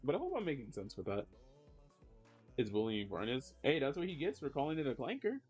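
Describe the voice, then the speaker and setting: A man's voice: brisk, breathy edge, muffled recording, soft background music. Rambling male streamer chatting while drawing. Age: 30s. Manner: rambling, many "like" fillers, lively jumps in pitch when reacting to chat. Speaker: streamer and digital artist. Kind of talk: casual livestream chat while drawing.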